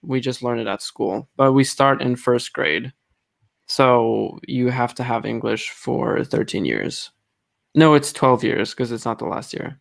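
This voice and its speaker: Man with Norwegian accent, gravelly voice, relaxed joking talk, live stream host. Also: mid-range voice, measured pace. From Norway. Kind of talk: casual live-stream chat.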